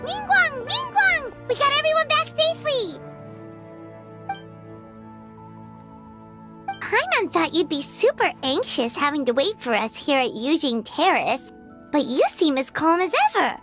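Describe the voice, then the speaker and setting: Squeaky, bubbly little fairy companion. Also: female voice, sing-song, moderate pace, pushed and bright, faint music behind, full character voice. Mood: relieved, excited. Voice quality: squeaky, childlike. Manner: bubbly, exclamatory, third-person self-reference. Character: small cheerful chatty childlike floating fairy companion. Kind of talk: video game cutscene acting.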